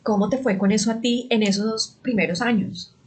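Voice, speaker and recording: Measured woman podcast host. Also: fairly high pitch, steady tone. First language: Spanish. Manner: measured, careful, uses fillers. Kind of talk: interview questions with personal anecdotes.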